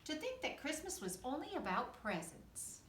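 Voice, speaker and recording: A woman's voice: sits high, very animated, normal speed, a bit bright, reverberant, recorded from a distance, a little hiss or hum, voice pushed up. A woman voicing a worried, curious fox in a children's storybook reading. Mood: sheepish.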